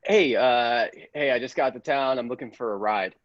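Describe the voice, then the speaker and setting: A man playing a hesitant, hopeful newcomer in town in improvised comedy, casual, halting and repetitive. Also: medium pitch, highs cut off, close to an everyday voice. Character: hesitant, hopeful newcomer asking police for rides.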